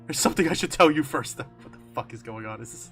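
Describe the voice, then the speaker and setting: A man reading a horror story aloud on a stream, expressive and emphatic, slipping between dramatic narration and casual asides. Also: medium pitch, quick pace, husky. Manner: expressive, emphatic, swearing, breaking into amused asides. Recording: music under the voice. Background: background music.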